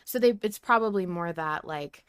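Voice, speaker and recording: A woman's voice: fairly low pitch, moderate pace, smooth, no echo, clean studio sound. A woman in casual conversation as a podcast panelist asking questions, tentative and self-deprecating. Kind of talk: casual chat.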